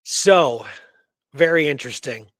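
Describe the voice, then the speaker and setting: A man's voice: a little high, lively intonation, measured pace, fairly bright tone, dry recording. A man hosting a radio show, retelling a historical case in casual, slangy talk with emphatic repeated phrases.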